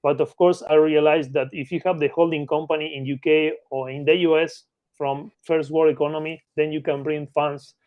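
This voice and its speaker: Argentine guy with spanish accent talking shop in an interview, bit high voice, really dry audio, keeps checking you follow. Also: level tone, moderate pace, no echo, narrow, tinny audio. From Patagonia, Argentina. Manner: repeatedly checks in with short tag questions. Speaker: interview guest, mergers and acquisitions consultant. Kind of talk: conversational explanation in an interview.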